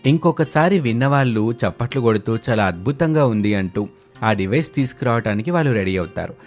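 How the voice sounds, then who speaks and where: A man narrating a family story in an even storytelling tone, with soft music behind. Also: mid-range voice, brisk.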